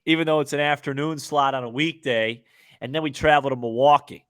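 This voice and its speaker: A man's voice: mid-range voice, a little fast, fairly bright tone, smooth. A man co-hosting a sports podcast, chatting casually with opinions and repeating phrases for emphasis.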